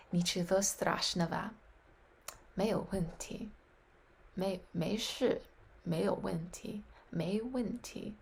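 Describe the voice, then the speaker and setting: A woman's voice: fairly low pitch, vocal fry, darkish tone, husky. A woman practicing foreign phrases aloud, often whispering, repeating words and doubting her own pronunciation. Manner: unsure, self-deprecating. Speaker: language learner practicing phrases.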